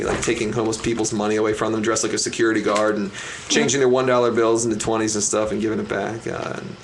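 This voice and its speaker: Man, loud voice, rambling, restarts a lot, middle pitch, faint background hiss. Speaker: guest, magician. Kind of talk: casual interview chat. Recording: faint noise, live stream.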